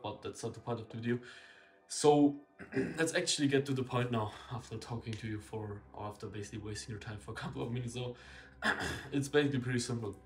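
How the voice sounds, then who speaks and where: A young man talking to camera in a small room, rambling and blunt, full of fillers and self-corrections. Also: medium pitch. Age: young adult. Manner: candid and blunt, casual swearing. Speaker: marketing consultant. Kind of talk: casual talking-head video. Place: small indoor room with a window.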